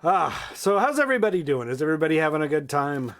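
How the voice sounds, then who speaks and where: A man reading children's stories aloud in a playful, folksy, expressive way, with casual asides. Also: on the high side, fast talker. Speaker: storytime reader.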